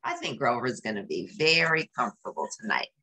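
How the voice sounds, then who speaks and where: A woman reading a storybook aloud to young children, expressive and explanatory, adding casual comments and exclamations. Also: extremely low pitch, fairly quick, husky, poor audio. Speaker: storybook reader.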